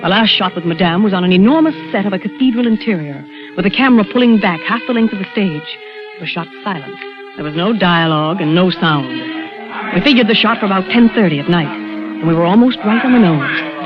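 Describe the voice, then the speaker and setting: An actress narrating as a scheming young woman stand-in in an old radio drama, in a clipped, measured, suspenseful way. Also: medium pitch, expressive, natural voice. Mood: tense. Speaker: stand-in narrating her crime. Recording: dull old radio broadcast with music.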